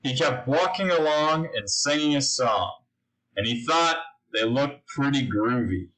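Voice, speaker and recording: A man's voice: mid-range voice, lively intonation, unhurried, a bit bright, rough recording. A man reading a children's picture book aloud, speaking simply and putting questions to his young listeners. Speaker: story reader.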